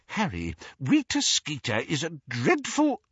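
A man voicing a stiff, loyal elderly wizard gentleman in a storybook, wheezy and full of wounded dignity. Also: on the high side, wildly swooping pitch, measured pace, breathy edge, dry recording, muffled recording, pitched above the performer's own voice, exaggerated voice. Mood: flustered.

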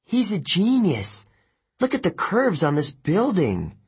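A man voicing a fierce, brainy teenage girl in an audiobook, sharp and sarcastic. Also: high voice, very animated, slow, husky, voice pushed up, heavily acted. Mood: awed. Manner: sharp, indignant.

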